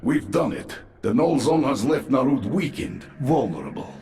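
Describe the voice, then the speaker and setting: Man playing a gravelly, grim undead commander. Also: animated, moderate pace, mellow, close to an everyday voice. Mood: urgent, dry. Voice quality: gravelly, low. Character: grim, sardonic undead male military commander. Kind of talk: video game character acting. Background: music.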